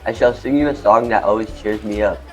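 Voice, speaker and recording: A man's voice: on the low side, fast talker, faint music behind, natural voice, rough recording. A man acting a cheerful, boastful food truck owner in an amateur radio play, with earnest, theatrical, formal wording. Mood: cheerful, dramatic.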